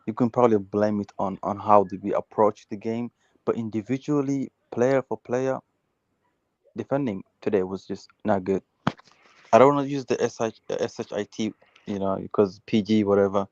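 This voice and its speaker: A man's voice: on the low side, normal speed, a little mellow, no echo, muffled recording. A man from Uganda hosting a heated casual football debate, insistently repeating words and restarting his sentences.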